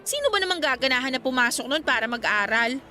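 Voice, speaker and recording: Girlish filipina student, 18, kinda sulky n shy, high bright voice, radio drama. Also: big pitch swings, fast talker, a little hiss or hum, heavily acted. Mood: sulky, complaining. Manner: girlish, complaining, conversational. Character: sulky, shy eighteen-year-old Filipina student girl, Karina.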